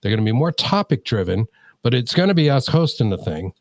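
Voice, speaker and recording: A man's voice: medium pitch, sing-song, fairly quick, no room sound, clean studio sound. A man with a New England accent chatting casually as a podcast co-host, in an informal, slangy, rambling way. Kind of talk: casual chat.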